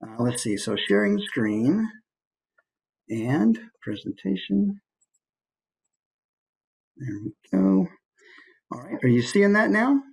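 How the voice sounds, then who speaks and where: A man giving an informal online presentation in an easygoing, conversational way, with hesitant pauses as he goes. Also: mid-range voice, sing-song, gravelly, slightly rough, rough recording. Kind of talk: informal online talk with slides. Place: online video call.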